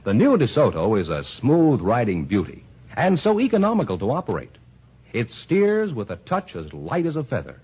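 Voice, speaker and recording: A man's voice: mid-range voice, wildly swooping pitch, normal speed, breathy edge. An American man announcing and reading ads in a 1940s radio style, with polished, emphatic salesmanship. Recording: dull old 1940s radio recording.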